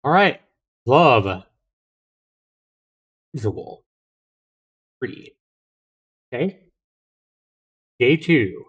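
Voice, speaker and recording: Slow-talking man reading aloud on a livestream. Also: medium pitch, very animated, breathy edge. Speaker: livestream host. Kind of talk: reading a visual novel aloud with casual asides to viewers.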